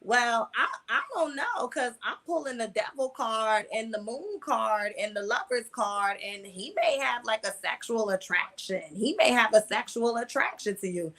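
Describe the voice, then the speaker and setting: A woman's voice: a little high, animated, normal speed, few pauses, bright, forceful tone. A woman in her mid twenties with an American accent, telling personal stories candidly as an interview guest, in colloquial language with repeated words for emphasis. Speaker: interview guest, online video creator.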